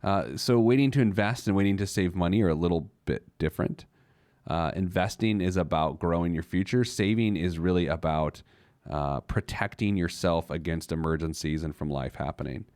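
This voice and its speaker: A man's voice: deep voice, normal speed, darkish tone, dry recording, studio quality. An American man hosting a personal finance show in a conversational, emphatic way, acting out objections and answering them.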